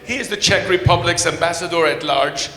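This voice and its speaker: A man whose first language is Polish, moderating a panel in English, formal and courteous, halting with many fillers and restarts. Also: on the high side, bright, forceful tone. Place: large conference hall with podium. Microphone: microphone. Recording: echoey hall with long reverb.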